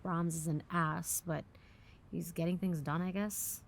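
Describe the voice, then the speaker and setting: A woman's voice: on the low side, fairly even intonation, a little slow, gravelly, no echo. A woman acting in an audio drama as a guarded, defiant operative, with a curt, blunt, dry delivery. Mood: guarded, matter-of-fact.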